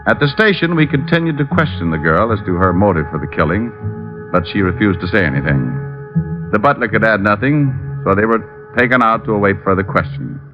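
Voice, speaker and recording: An actor playing a wry, easygoing private detective in a 1940s radio drama, narrating and talking in a dry, wisecracking way. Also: male voice, on the low side, expressive, a little fast, over music, lightly acted. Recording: muffled old radio recording.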